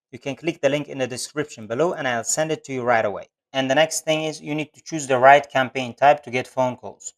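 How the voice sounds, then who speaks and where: A man giving instructional narration for a screen-share tutorial, explaining things step by step as an online tutor. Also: medium pitch.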